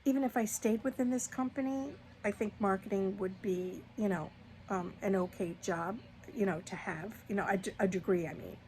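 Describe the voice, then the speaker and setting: A woman's voice: medium pitch, moderate pace, a little mellow, slight background noise. A woman in her sixties talking candidly and casually to her viewers, rambling with fillers and restarts. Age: 60s. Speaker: vlogger.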